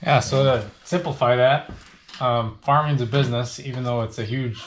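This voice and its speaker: A man's voice: medium pitch, steady tone, moderate pace. A young man, a dairy farmer, talking casually and summing things up in a small indoor work room. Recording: clear background noise with clicks.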